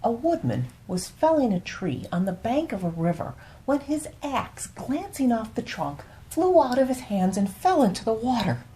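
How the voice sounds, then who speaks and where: A woman telling bedtime fables as a storyteller, in an unhurried, soothing and expressive way. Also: a little high, poor audio.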